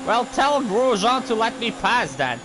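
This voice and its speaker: French-native male gamer; slow, lively-pitched rambling commentary, engine noise behind. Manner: rambling, easily distracted, quick outbursts. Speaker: gaming YouTuber. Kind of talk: casual gameplay commentary. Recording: gameplay audio with clear engine noise.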